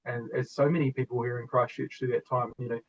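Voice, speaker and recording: A man in his twenties with a New Zealand accent, a guest in an interview speaking reflectively and earnestly with soft laughs. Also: medium pitch, little pitch movement, quick pace, muffled recording, slight background noise. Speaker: guest, former student. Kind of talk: conversational interview.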